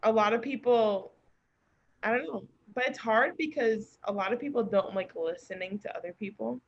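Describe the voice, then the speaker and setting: A woman's voice: fairly high pitch, normal speed, dull audio. A woman chatting casually and earnestly as an encouraging podcast guest. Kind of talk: casual chat. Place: remote group call.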